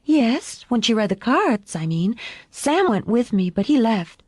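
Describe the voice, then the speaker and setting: A woman's voice: on the high side, very animated, measured pace, a little mellow, breathy edge, no room sound, studio quality, voice pushed up, full character voice. A woman voicing an eager, trusting young girl as a character in an audiobook. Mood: earnest. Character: young girl, eager, trusting, easily upset, loving daughter.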